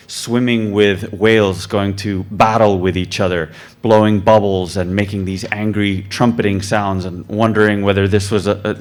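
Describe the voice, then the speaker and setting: A young man born in the UK gives an earnest self-introduction at a mic, relaxed and loose, with plenty of ums and uhs. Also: on the low side. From born in the UK, raised in many countries. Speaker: photographer and filmmaker. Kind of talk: short self-introduction speech. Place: club event hall, talking at a mic.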